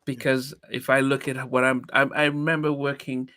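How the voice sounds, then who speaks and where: A man's voice: mid-range voice, level tone, normal speed, pushed and bright, no room sound, clean studio sound. A man in his fifties, from Nigeria, hosting a podcast in a reflective, hesitant way with many restarts. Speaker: podcast host. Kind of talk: casual interview chat.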